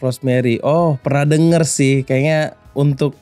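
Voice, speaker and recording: A man's voice: medium pitch, steady tone, unhurried, warm tone, dry recording, soft background music. A man from Jakarta answering a casual interview, guessing and tossing in English words.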